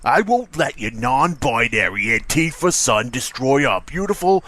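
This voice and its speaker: A man's voice: mid-range voice, big pitch swings, measured pace, pushed and bright, exaggerated voice. A man voicing a pompous, blustering cartoon bully in a comedy skit. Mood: blustering, indignant.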